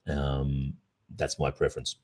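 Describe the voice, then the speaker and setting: A man's voice: very deep voice, monotone, measured pace, darkish tone. An Australian man hosting a casual livestream Q&A, chatting informally and reflectively. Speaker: ASMR roleplay creator and livestream host.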